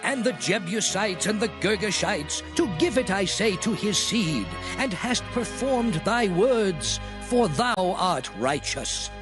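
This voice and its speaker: An actor playing a Levite who leads the people in fervent public prayer, reverent and declamatory, in a dramatized scripture reading. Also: male voice, sits high, lively intonation, slow pace, few pauses. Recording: music behind.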